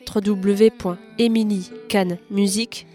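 A woman radio interviewer asking brief, measured questions and closing the segment. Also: medium pitch, fairly even intonation, warm tone, soft background music.

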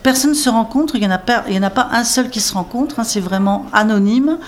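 A woman's voice: fairly high pitch, fast. A woman coordinating literacy classes, answering interview questions in a rambling way, full of fillers, restarts and tag words. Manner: rambling, many fillers and restarts, emphatic "hein".

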